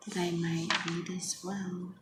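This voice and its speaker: A young woman speaking very softly in a half-whispered ASMR style, gently reading poetry in an unhurried, hushed manner. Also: fairly low pitch, flat delivery, over loud music. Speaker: ASMR host reading their own poems. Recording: echoey, with clear background noise.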